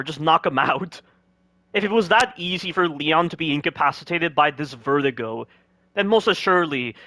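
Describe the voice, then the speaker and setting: A male commentator picks apart game scenes in a critical, questioning way, firing off rhetorical questions. His voice sits a little high and comes across bright and forceful. It is recorded close and completely dry, with no room sound. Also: no echo. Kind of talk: critical gameplay commentary over cutscenes.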